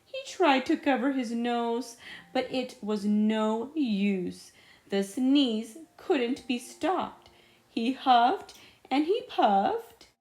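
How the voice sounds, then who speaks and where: A woman narrates a children's storybook at a slow, measured pace. Her pitch moves around a great deal, and she builds up dramatic moments in an animated way. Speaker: storybook reader. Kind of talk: expressive children's read-aloud narration.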